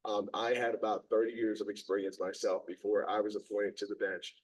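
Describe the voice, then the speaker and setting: Hoarse middle-aged man, low voice, halting community talk, distant mic. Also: level tone. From Maryland, USA. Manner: halting, many ums and restarts. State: hoarse, lost his voice. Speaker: circuit court judge. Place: meeting room.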